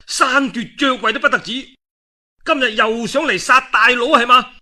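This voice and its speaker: An impulsive warlord from a historical storybook, acted out by a male storyteller, speaks with a Guangdong Cantonese accent. His raised, forceful voice is emphatic and exclamatory, that of an aggrieved elder brother. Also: extremely high pitch, normal speed, voice pushed up, heavily acted. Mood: furious.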